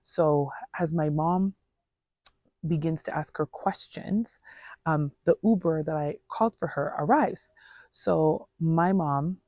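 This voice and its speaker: A woman's voice: sits low, takes it slowly, warm tone, no room sound. A woman telling a personal story in a casual, earnest, conversational way, voicing reported dialogue as she goes. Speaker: storyteller vlogger.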